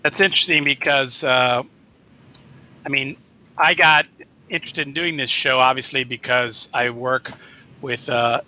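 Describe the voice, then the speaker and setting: A man's voice: medium pitch, steady tone, unhurried, long pauses, bright, forceful tone. A man hosting a casual radio interview, chatty and rambling, often correcting himself mid-sentence. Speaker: radio show host.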